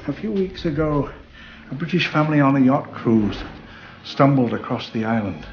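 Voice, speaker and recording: An elderly gentleman played by a male actor speaks as a genteel park founder making his case, at a middling pitch. The film dialogue sounds slightly dull, with music clearly behind it. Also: animated, normal speed, natural voice. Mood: earnest, rueful. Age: older. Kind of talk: film dialogue in a video game cutscene.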